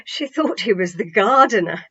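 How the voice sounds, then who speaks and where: A woman voicing an excitable, devout woman disciple in a storybook, with a breathless, halting, gushing delivery. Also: medium pitch, husky. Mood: earnest, excited. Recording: slightly dull home recording.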